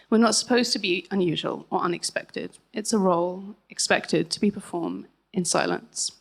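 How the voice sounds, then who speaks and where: A woman in her thirties, an author, reading her own essay aloud at a book launch, measured with occasional chatty asides. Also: fairly low pitch, gravelly.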